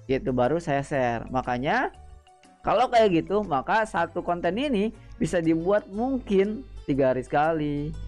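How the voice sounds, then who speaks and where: A man hosting a gaming channel, talking casually to his viewers, repeating himself and often pausing with hesitant fillers. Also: sits high, a little mellow. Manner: chatty, repetitive, frequent hesitant fillers. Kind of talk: casual explainer chat to viewers.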